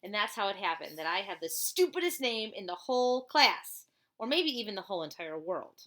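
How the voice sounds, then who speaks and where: Animated, bright-voiced woman reading aloud. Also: medium pitch, a little fast. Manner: animated, chatty asides. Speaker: teacher reading aloud to children. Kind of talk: expressive children's storybook read-aloud.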